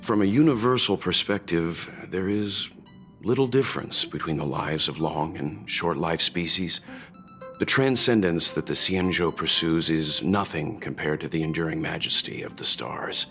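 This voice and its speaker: A man voicing a calm, fatherly older mentor in a video game, measured and philosophical. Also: deep voice. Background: game music.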